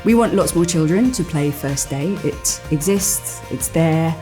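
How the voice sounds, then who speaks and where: Female game maker, very low mellow voice, explanatory interview read. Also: animated, a little slow, soft background music.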